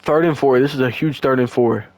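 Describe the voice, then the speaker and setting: A young man, hoarse and losing his voice, doing casual, slangy play-by-play of a video game football match. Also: mid-range voice, brisk, no room sound. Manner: excitable, slangy, stumbles and restarts. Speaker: play-by-play commentator.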